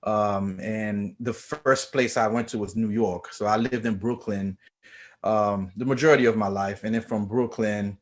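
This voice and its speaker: Relaxed Haitian-born man telling his story. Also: lowish voice, a little slow. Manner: relaxed storytelling with many fillers. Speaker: guest chiropractor. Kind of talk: casual interview.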